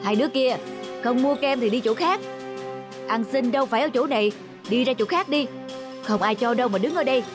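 A woman voicing an irritable, scornful cartoon customer with a gruff, scolding manner. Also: fairly high pitch, fairly quick, pauses often, lightly acted. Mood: irritated, scornful. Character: irritable, scornful customer shooing away ragged children. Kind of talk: cartoon dubbing. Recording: music behind the voice.

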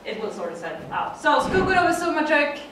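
A woman's voice: high-pitched, sing-song, a little fast, fairly bright tone, a little hiss or hum. Swedish-accented woman in an echoey hall. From Sweden. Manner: chatty, laughs often, many fillers. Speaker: conference speaker. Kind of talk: presentation talk. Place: dim conference room. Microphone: far room mic. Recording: echoey room from far off.

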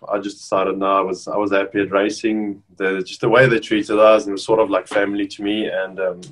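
A South African man in his thirties, whose first language is Afrikaans, chatting casually over a video call as an interview guest with relaxed restarts. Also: lowish voice, fairly quick, low-quality recording. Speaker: rugby player guest. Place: bedroom at home, indoors.

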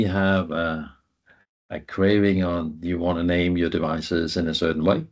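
Low-voiced man, halting technical presenter. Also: muffled recording. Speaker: guest presenter, IT professional. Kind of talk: informal technical presentation over slides.

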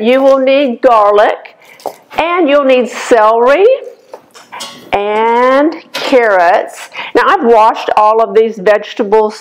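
An older woman with a slightly raspy voice hosts a casual cooking demonstration in her home kitchen, talking the viewer through each step. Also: fairly high pitch, low-quality recording. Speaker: cooking show host.

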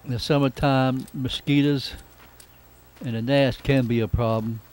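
A man narrating a casual travelogue in an unhurried, reflective, plain-spoken way. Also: medium pitch, fairly even intonation, darkish tone. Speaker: amateur travel videographer. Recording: noisy camcorder sound with outdoor ambience.

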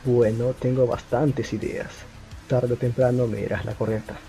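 A man performs a young detective in a fan film dub, cool and cunning. The voice is soft-edged and mellow, with a Latin American Spanish accent. He presses his suspect deliberately, over a music bed. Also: mid-range voice, level tone, natural voice. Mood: cool, probing. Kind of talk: amateur film dub acting. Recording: music under the dialogue. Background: background music.

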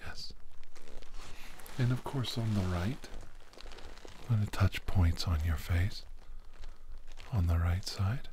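A middle-aged man playing a doctor in an ASMR medical roleplay, speaking deliberately in short, halting phrases with pauses. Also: deep voice. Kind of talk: ASMR medical roleplay, soft instructions to the listener. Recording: clearly noisy room recording.

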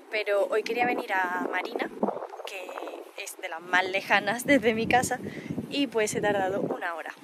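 A woman's voice: mid-range voice, background noise. A woman, chatting casually to camera in a vlog, her voice a little stuffy a cold. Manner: chatty and upbeat, many fillers. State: slightly congested from a cold. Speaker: vlogger. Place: home indoors, then city street outdoors. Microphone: phone or camera held at arm's length.

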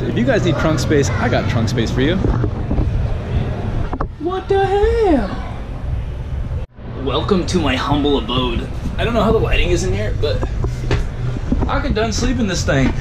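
A nineteen-year-old man vlogging casually to a handheld camera in a large indoor auto show hall, excited and full of slang. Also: fairly high pitch, very noisy. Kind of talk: casual vlog commentary. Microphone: action camera held at arm's length.

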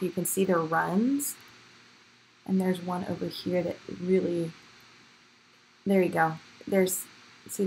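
A woman's voice: medium pitch, a bit bright, low-quality recording. A woman giving a casual, upbeat, chatty tour as a vlog host, speaking directly to viewers outdoors into a handheld camera. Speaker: host of a home gardening vlog. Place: outdoors in a backyard garden in the evening. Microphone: handheld camera or phone. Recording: outdoor handheld audio with background noise. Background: faint outdoor ambient noise.

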